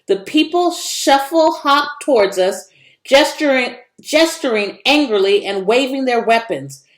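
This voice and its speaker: A woman's voice: sits high, lively intonation, a little slow. A woman narrating a children's story expressively, in a roomy, echoing recording. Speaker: read-aloud narrator.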